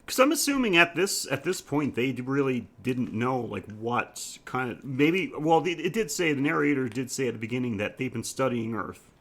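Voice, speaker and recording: A man's voice: mid-range voice, expressive, normal speed, fairly bright tone. A man co-hosting a podcast, chatting casually through a film review and laughing easily as he talks. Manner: laughs easily while talking. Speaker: podcast host.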